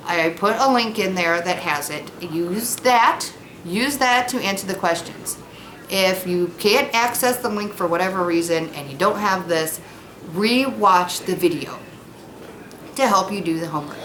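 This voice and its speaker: Female schoolteacher, mid-pitched voice, informal and explanatory, with some noise on the recording. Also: fairly bright tone, roomy sound, low-quality recording. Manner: informal, explanatory, speaks simply to children. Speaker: elementary school teacher. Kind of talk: read-aloud lesson with casual explanation to pupils.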